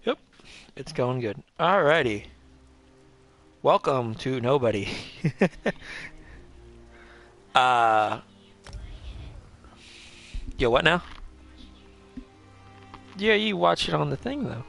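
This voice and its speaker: A man chats loosely while livestreaming a game, rambling, joking at his own expense and swearing offhandedly. His voice is gravelly with a bright, forward edge. Faint game music sits in the background. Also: mid-range voice, big pitch swings, very slow, pauses often. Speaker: game streamer. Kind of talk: casual livestream chat.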